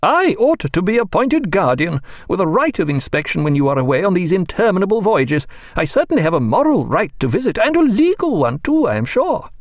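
A man voicing a domineering, meddling older woman who talks in a shrill, overbearing, lecturing way. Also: big pitch swings, fairly quick, hardly any pauses, dry recording, studio quality, full character voice. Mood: imperious. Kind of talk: audiobook character voice.

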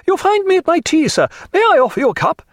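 A man's voice: extremely high pitch, very animated, fast talker, no echo, clean studio sound, pitched above the performer's own voice. A man voicing a coarse, gin-drinking old Englishwoman in an audiobook, blunt, garrulous and theatrical. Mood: bawdy, garrulous. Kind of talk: audiobook character voice.

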